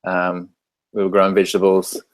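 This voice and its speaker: A man with a New Zealand accent, a podcast guest telling his story in a thoughtful, measured way. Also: low voice, monotone. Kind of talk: interview storytelling.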